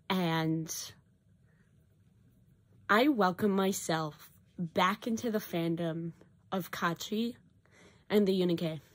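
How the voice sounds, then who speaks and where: A teenage girl, hoarse from a cough, talking casually and rambling into a webcam in her small bedroom. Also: medium pitch. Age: teens. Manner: rambling, self-correcting, animated at times. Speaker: vlogger. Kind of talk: casual confessional vlog. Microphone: built-in webcam or phone mic, close.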